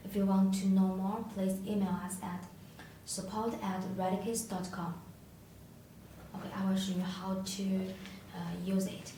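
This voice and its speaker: Halting non-native woman in an echoey room. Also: mid-range voice, level tone, dark tone. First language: not English. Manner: halting, hesitant delivery with searching pauses. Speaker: product demonstrator. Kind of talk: unscripted product tutorial. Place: echoey indoor room, tabletop demonstration. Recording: echoey room, distant mic, faint noise.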